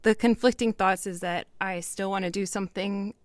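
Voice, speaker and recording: Woman acting anxious young woman, smooth clear voice, dry close sound, wry, candid, halting confession. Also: mid-range voice, fairly even intonation, no room sound, close to an everyday voice. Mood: reflective, resigned. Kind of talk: naturalistic audio drama dialogue.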